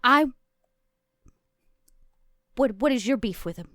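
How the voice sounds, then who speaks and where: A woman's voice: a little high, expressive, takes it slowly, bright, forceful tone, dry recording, clean studio sound, voice pushed up, full character voice. A woman playing a nervous but defiant monster hunter with a wry, challenging manner in a tabletop game. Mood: nervous challenge.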